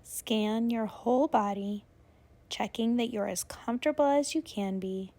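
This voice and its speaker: A woman leading a guided yoga nidra relaxation, giving soothing, unhurried instructions with long pauses. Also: fairly high pitch, warm tone.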